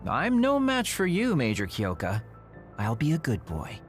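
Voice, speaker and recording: Flippant young hitman for a game. Evasive, higher-set voice, very animated intonation over prominent music. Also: unhurried. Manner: glib, evasive, teasing. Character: sly, flippant young male assassin.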